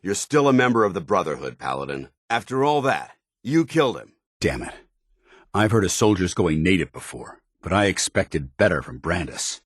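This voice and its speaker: Casting: gruff, honorable military commander for a game, rasping voice, formal and earnest, very dry close recording. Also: male voice, low voice, big pitch swings, moderate pace, dry recording, exaggerated voice. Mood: angry, stern. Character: stern, duty-bound military paladin, gruff and honorable adult man. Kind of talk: video game companion dialogue.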